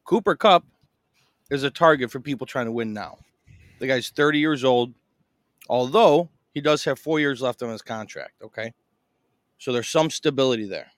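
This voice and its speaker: A man's voice: mid-range voice, normal speed, long pauses, no echo, studio quality. A man co-hosting a casual fantasy football podcast, loose and chatty, with frequent fillers and laughs. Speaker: podcast co-host. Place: in Boston, eating a meal while recording.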